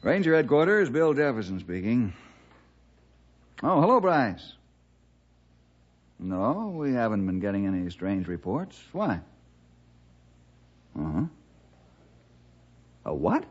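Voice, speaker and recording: A principled forest ranger in a vintage radio play. He speaks in an earnest, measured and fatherly manner. The sound is dull and narrow, like an old broadcast. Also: medium pitch, sing-song, breathy edge, heavily acted. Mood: businesslike. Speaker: ranger and lead character. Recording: dull, band-limited old radio recording.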